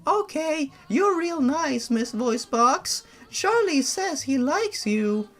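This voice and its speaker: A man's voice: extremely high pitch, very animated, slow pace, fairly bright tone, pitched above the performer's own voice, exaggerated voice. A man voicing a sweet, eager little ghost girl with childlike, simple phrasing.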